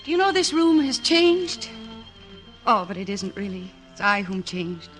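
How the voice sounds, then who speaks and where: A woman's voice: mid-range voice, big pitch swings, measured pace. An actress playing an earnest, devoted woman psychoanalyst in a classic American radio drama, with an insistent, clinical manner. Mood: tender, flustered. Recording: restored old radio broadcast, orchestral music.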